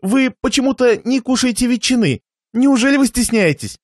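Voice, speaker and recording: A man's voice: very high-pitched, expressive, normal speed, no room sound, studio quality, pitched above the performer's own voice. A man voicing a miserly, suffering merchant host in a satirical story, fawning with plaintive, forced politeness while seething underneath. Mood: forced enthusiasm. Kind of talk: dramatised reading of a satirical short story.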